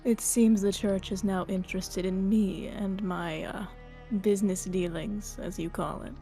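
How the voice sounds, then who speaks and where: A woman's voice: mid-range voice, fairly even intonation, unhurried, gravelly, dark tone, soft background music. A woman playing a composed, reserved noble lady, polite and guarded, in a Minecraft roleplay.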